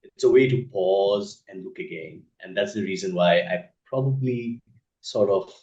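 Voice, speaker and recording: A man from northeast India with an Indian accent, giving reflective, thoughtful interview answers as an author, measured and pausing mid-sentence. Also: on the low side, dark tone. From Assam, northeast India. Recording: echoey room, dull muffled sound.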